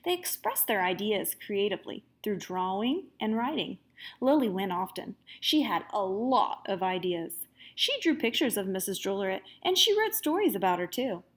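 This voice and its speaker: A woman reading a children's storybook aloud as a teacher would, with expressive, dramatic emphasis. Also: mid-range voice, normal speed.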